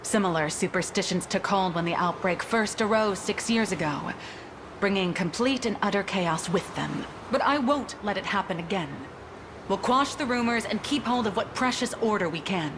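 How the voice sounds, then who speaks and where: A woman's voice: mid-range voice, lively intonation, normal speed, noisy background, close to an everyday voice. An actress playing a stern, proud lady commander, with a formal, commanding, sardonic manner in a fantasy game. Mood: stern, dismissive. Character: stern, proud lady commander keeping order amid plague. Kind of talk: theatrical fantasy game acting. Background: music.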